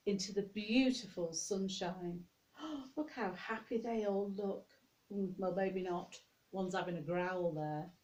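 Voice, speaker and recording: A woman's voice: mid-range voice, a little mellow, rough recording. A woman reading a picture-book story to young children, expressively, with chatty asides spoken straight to the listeners.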